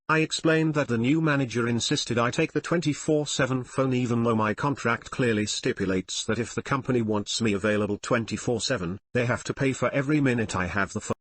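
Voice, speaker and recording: A man narrates scripted online stories and reader comments. His voice sits at an average pitch with a husky, slightly rough edge. The sound is dry, with very little room around it. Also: no room sound. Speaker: narrator reading online forum posts and comments.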